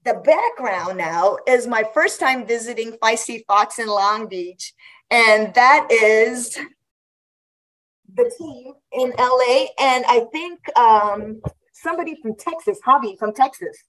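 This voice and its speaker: A woman's voice: a little high, expressive, slow pace, a bit bright, rough recording. A woman in her fifties from Guam, chatting casually as an interview guest, laughing often and restarting her sentences. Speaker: guest athlete.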